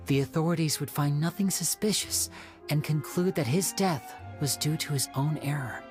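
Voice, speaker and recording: A composed young game magician, played by a woman, with a slightly low voice. The delivery is measured, deliberate and quietly confident, explaining like a detective over faint music. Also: natural voice. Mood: calm, deductive. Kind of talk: video game voice acting. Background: faint background music.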